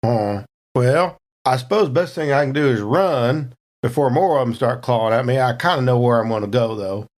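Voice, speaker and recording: A man's voice: medium pitch, animated, moderate pace, darkish tone. A man casually narrating his character's moves as a player in a tabletop game, in folksy, colloquial phrasing.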